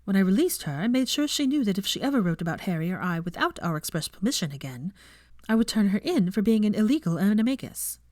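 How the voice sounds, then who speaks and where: A woman voicing a self-assured witch professor in her twenties, bright, prim and assertive, in a story reading. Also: mid-range voice, big pitch swings, fast, no echo, studio quality, natural voice. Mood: smugly firm. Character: cheerful, firm, self-assured young witch professor, aged twenty-four.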